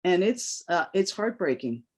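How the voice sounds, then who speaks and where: A woman's voice: fairly low pitch, very animated, measured pace, breathy edge. Earnest woman from New York, first language Cantonese. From New York, United States. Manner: earnest, impassioned. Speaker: guest. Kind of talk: candid interview answer.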